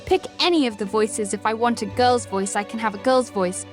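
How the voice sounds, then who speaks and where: A woman's voice: fairly high pitch, fairly quick, faint music behind, voice pushed up, lightly acted. A synthetic voice doing an upbeat young woman. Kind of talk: synthetic voice demo in an ad.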